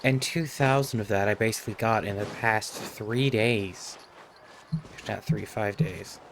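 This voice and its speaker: A man's voice: lowish voice, unhurried, vocal fry, background noise. A man streaming a game, giving casual live commentary. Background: game music.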